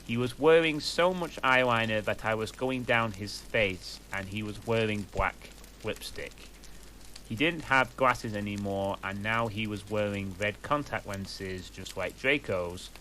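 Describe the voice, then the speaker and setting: A man doing a slow, deadpan comic reading of a fan story. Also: fairly low pitch, a little hiss or hum, low-quality recording. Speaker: reader.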